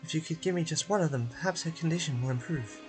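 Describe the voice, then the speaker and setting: A man voicing a polite storybook witch who pleads slowly and courteously. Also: on the high side, over music, pitched above the performer's own voice, natural voice. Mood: polite pleading.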